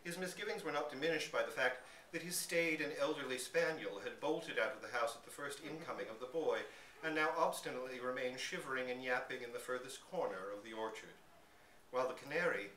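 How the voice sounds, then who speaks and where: Expressive male story reader, echoey and distant. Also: medium pitch. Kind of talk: live dramatic reading of a short story. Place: live reading in a bookstore. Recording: echoey room, distant mic, background noise.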